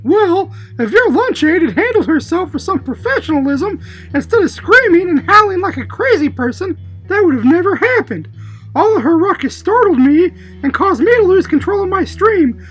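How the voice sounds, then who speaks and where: A woman's voice: extremely high pitch, low-quality recording. A woman voicing an indignant, boastful twelve-year-old schoolboy in a comedy puppet show. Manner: excitable, self-justifying.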